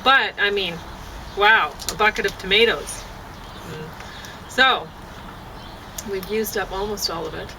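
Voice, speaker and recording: A woman chatting casually and haltingly, thinking aloud as she goes and often breaking off to rephrase. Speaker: vlogger and amateur poet. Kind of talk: casual rambling chat to camera. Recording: noisy, distant mic, traffic-like rumble.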